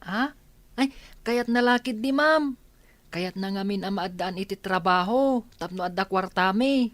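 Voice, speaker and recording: A woman's voice: medium pitch, a little slow, no room sound, lightly acted. An earnest little village boy, voiced by a woman, speaks politely and full of questions in a radio comedy-drama. The sound is dry and close. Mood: shy, respectful. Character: young village schoolboy, earnest, polite, dutiful son.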